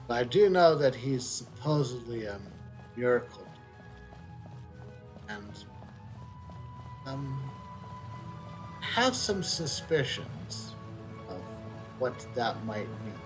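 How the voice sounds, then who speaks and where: Halting male game streamer, thinking aloud. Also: mid-range voice, sing-song, soft background music, rough recording. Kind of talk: casual game commentary.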